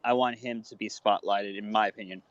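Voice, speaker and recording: A man speaking in an online audio room, soft-spoken and deferential, stepping back so others can talk. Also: fairly low pitch, fairly even intonation, fairly quick, no room sound. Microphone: online voice call. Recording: muffled online voice call.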